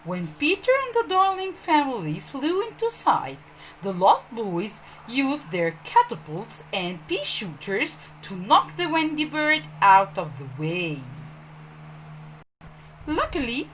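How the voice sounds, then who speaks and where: A woman telling a children's story as host, expressive and speaking directly to young listeners. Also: on the high side, a little hiss or hum, low-quality recording.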